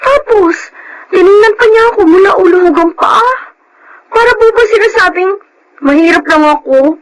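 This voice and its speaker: A woman's voice: extremely high pitch, moderate pace. An actress playing a poor, hurt young woman in a plaintive, emotional way in a Filipino radio drama. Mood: tearful, wounded.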